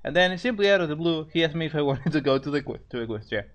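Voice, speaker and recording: Halting man reading fan fiction aloud. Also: a little high, animated. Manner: halting, stumbles over and repeats phrases. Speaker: story narrator, co-host. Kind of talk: amateur fan fiction reading with casual banter.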